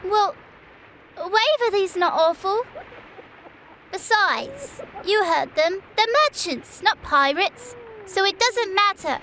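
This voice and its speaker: Very high-pitched stubborn little girl. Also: animated, slow, pushed and bright, exaggerated voice, poor audio. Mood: defiant, pouting. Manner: cheeky, pouting. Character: seven-year-old girl, stubborn, dreamy, adventure-loving little sister. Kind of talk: audio drama acting. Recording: faint background ambience noise.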